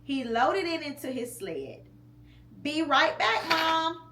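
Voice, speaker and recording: A mother reading a picture book aloud to her child at home, slow and expressive with pauses between phrases. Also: high voice. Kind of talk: storybook read-aloud. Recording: echoey room with faint hum.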